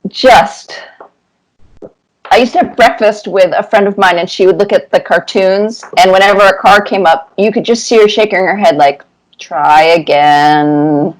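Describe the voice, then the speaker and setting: A middle-aged woman answering interview questions conversationally, with thoughtful, deliberate phrasing. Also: mid-range voice, steady tone, rough recording. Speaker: interview guest, cartoonist.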